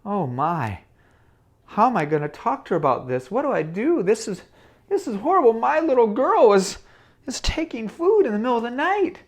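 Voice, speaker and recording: A man's voice: very high-pitched, sing-song, normal speed, pitched above the performer's own voice, heavily acted. A man voicing an anxious, loving mother of a young girl, fretful and gushing. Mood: worried.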